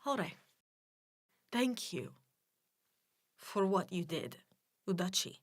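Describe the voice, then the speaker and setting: A woman's voice: mid-range voice, animated, slow, dry recording. An actress playing a blunt, wry Soviet-born female official with a Russian accent in a radio drama. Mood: quiet, earnest. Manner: blunt, dry, clipped formal phrasing.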